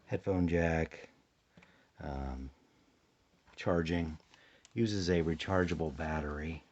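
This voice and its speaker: An American man chatting casually through a hands-on demonstration, low-key and unhurried, thinking aloud and sounding a little sleepy. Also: darkish tone, a little hiss or hum. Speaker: hobbyist demonstrating a shortwave radio. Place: indoors at a tabletop, close to the camera. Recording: dull, muffled home recording.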